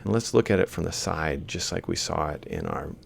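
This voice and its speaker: A man giving an informal, step-by-step lecture, explaining haltingly as he works through examples. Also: deep voice, huge pitch swings, vocal fry, a little hiss or hum. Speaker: university robotics lecturer. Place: screen-recorded lecture voice-over.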